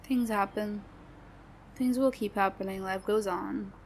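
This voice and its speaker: A young woman voicing a sad best friend from a troubled home who hides her hurt, talking haltingly with nervous little laughs and trailing off. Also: medium pitch, steady tone, a little hiss or hum, close to an everyday voice. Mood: sad, resigned. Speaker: voice actor. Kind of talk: audio roleplay.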